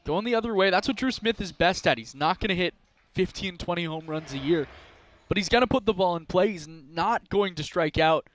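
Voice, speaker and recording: A man giving color commentary on a baseball game, breaking down each pitch and swing. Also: fairly quick, a bit bright.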